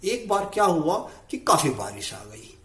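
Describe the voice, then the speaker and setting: Animated male storyteller in an echoing room. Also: on the high side, unhurried. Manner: conversational, expressive. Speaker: storyteller, channel host. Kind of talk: oral moral storytelling.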